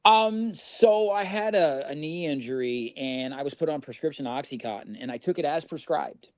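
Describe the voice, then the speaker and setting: A man's voice: a little high, normal speed, no echo. Man, middle-aged, from Indiana, candid, rambling, swears, dry room sound. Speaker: podcast guest. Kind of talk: casual recovery storytelling.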